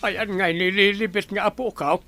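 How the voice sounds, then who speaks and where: An elderly Ilocano Filipino grandfather, acted by a man in an audio drama. His voice is high and gravelly with a bright edge, and he talks in worried questions. Music plays behind him. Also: fairly even intonation, moderate pace. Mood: conversational. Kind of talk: amateur audio drama acting.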